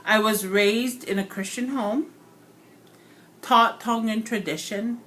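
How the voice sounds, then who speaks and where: A woman from Hawaii giving a prepared personal speech for a class, earnest and reflective, pausing and correcting herself now and then. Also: a little high, fairly bright tone.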